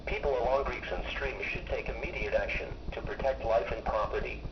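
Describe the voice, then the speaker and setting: A man's voice: medium pitch, brisk. An American man's synthetic-sounding voice reading a scripted emergency weather alert in a formal, impersonal way. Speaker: weather radio alert announcer. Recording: muffled, noisy weather radio broadcast, echoey.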